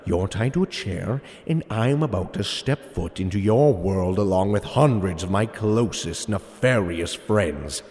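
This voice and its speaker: A man's voice: low voice, expressive, measured pace, few pauses, a little mellow. A man voicing a smug, theatrical supervillain mastermind with mock politeness in an audio superhero comedy. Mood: smug, gloating. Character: gloating comic-book supervillain mastermind, male, smug and theatrical. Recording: reverberant hall sound with music.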